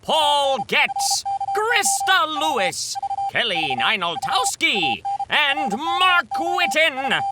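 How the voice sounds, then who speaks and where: A man's voice: very high-pitched, huge pitch swings, drawn-out words, heavy background noise. A man announcing credits as a theatrical, formal old-time radio announcer, reading from a script. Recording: loud music under the voice. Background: loud music.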